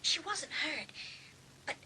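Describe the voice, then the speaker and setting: Woman playing very high, bright, worried teenage girl. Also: lively intonation, takes it slowly, slight background noise, exaggerated voice, poor audio. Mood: worried, shocked. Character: worried, gossipy teenage girl and loyal friend. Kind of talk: dramatised audiobook dialogue.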